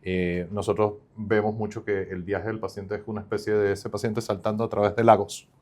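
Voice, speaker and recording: A man's voice: lowish voice, little pitch movement, a little fast, darkish tone. A male startup founder, not from Chile, explaining his company at length in an upbeat podcast interview with many fillers.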